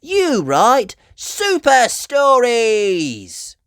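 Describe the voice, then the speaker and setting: High-pitched eager little piglet girl. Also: wildly swooping pitch, extremely slow, clear, resonant tone, no echo, studio quality, heavily acted. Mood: eager, questioning. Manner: exclaiming, earnest, childlike. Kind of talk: children's storybook character voice.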